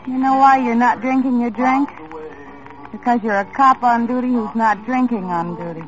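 A woman's voice: a little high, normal speed, natural voice. An actress playing a cool, world-weary young woman bar hostess in a 1950s radio drama, curt and guarded. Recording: muffled old radio recording with music.

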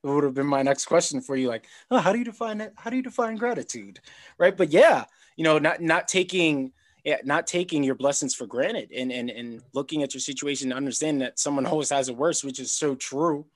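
Man, slightly high pitch, casual interview chat, rambling, repeats himself. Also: lively intonation, brisk. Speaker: podcast host.

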